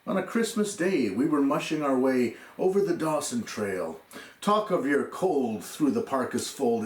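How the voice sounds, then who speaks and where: A man reciting a narrative poem theatrically, in measured phrases with dramatic pauses. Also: medium pitch. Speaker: poem reader. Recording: echoey room recording.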